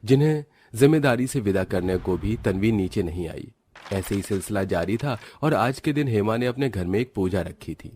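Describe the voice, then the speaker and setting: Guy with a low voice reading out a story, some noise and clicks behind. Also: expressive, normal speed, darkish tone. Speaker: narrator. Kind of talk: scripted storybook narration.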